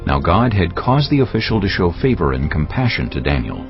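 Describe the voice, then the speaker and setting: Deep male narrator reading scripture, solemn but lots of movement in the voice, soft music, bit muffled. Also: big pitch swings, a little fast. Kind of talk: scripted dramatized Bible narration.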